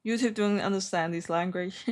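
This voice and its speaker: A woman speaking as a live stream trading host, chatting casually in a giggly, hesitant, halting way. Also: medium pitch. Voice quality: non-native English.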